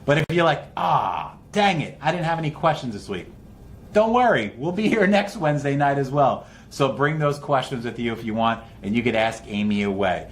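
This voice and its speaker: A man's voice: medium pitch, lively intonation, moderate pace. A man hosting an online test-prep session with an upbeat, informal and encouraging introduction.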